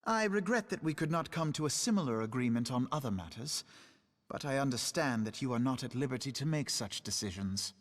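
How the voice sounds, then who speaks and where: A man playing a formal, diplomatic military commander in a fantasy game, speaking in measured, courtly and earnest phrases. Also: medium pitch, animated, lightly acted. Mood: formal, hopeful. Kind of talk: scripted fantasy game cutscene acting. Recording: long reverberant hall echo.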